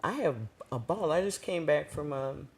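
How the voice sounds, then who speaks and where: Brief: deep-voiced woman guest, relaxed, chatty, halting with ums. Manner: relaxed, chatty, says "um" often. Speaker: guest singer. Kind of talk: casual interview chat.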